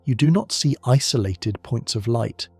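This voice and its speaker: A man reads soft-spoken bedtime narration at an easy, slow pace. His voice is calm and carries a steady gravelly fry in mid pitch. Faint music plays beneath him. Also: no room sound. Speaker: sleep narrator.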